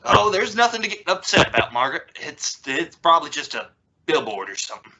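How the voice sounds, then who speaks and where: Man playing a flustered, high-voiced radio sitcom dad. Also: big pitch swings, fairly quick, pushed and bright, full character voice. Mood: dismissive. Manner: stammering, hesitant, blustering. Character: flustered, exasperated, good-natured suburban father and husband. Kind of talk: old-time radio sitcom acting. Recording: very noisy radio-play recording.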